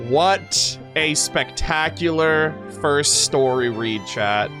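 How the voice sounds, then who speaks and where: A man with a somewhat high-set voice is streaming over soft plucked guitar music. He moves between reading a story aloud and casual commentary. His manner is expressive, informal and earnest. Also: slow. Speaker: streamer reacting to game lore.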